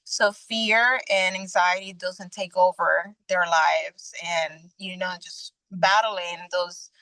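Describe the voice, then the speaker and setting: A young adult woman from Venezuela, a guest sharing her personal faith testimony in an earnest, halting way with frequent restarts. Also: fairly low pitch, fairly even intonation, sharp tone, no echo, rough recording.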